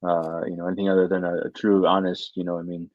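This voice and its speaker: A middle-aged American man with a low voice that stays very flat in pitch. He answers as an academic interview guest, earnest and halting, often repeating words as he goes. The room adds a noticeable echo. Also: dull audio. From Michigan, United States. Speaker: university faculty member, interview guest.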